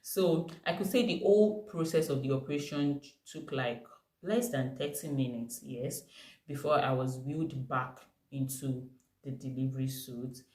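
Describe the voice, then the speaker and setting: A woman's voice: rumbling low, normal speed. Echoey Nigerian woman vlogging her story. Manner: animated, emphatic retelling with bursts of laughter. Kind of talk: personal storytelling to camera. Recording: echoey room.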